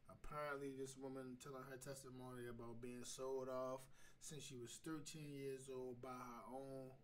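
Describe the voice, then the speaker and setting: A man gives casual, off-the-cuff commentary as a show host. His delivery is slangy and opinionated, often repeating phrases as he works through a point. His pitch sits in the middle, and clear noise runs behind him. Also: flat delivery, moderate pace, distant mic. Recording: clearly noisy background.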